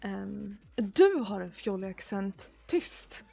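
Slow-talking Swedish woman with a gravelly edge. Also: mid-range voice, very animated, warm tone. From Sweden. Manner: giggly, chatty, drawn-out pauses. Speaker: streamer. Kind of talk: casual chat reading viewer messages. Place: small room at home streaming setup. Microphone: desk mic on boom arm.

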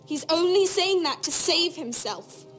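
A woman's voice: extremely high pitch, lively intonation, measured pace, music in the background. A woman playing an anguished, pleading noblewoman in a fantasy video game. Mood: worried. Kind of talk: dramatic video game acting.

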